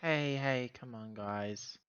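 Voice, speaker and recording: Man playing an earnest, bright-voiced company officer. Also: mid-range voice, clean tone, no echo, highs cut off. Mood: placating, weary. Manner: halting, placating.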